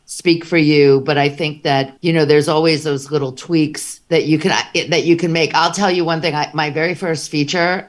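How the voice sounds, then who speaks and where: A woman filmmaker speaking on a theatre panel in an earnest, encouraging manner. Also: low-pitched. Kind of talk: panel discussion. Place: theatre stage.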